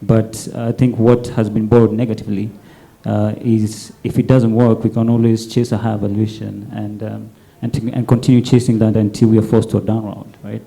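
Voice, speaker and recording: A man speaking on a panel, halting, with many fillers and repeated words. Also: on the low side, flat delivery, creaky, mellow. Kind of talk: panel discussion.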